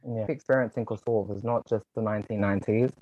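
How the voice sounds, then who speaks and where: Fast-talking young man, earnest and chatty. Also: on the low side, steady tone, warm tone, no echo. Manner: earnest, talks in quick runs with many "like" fillers. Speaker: guest, online content creator. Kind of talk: casual interview conversation. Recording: slightly dull, muffled sound.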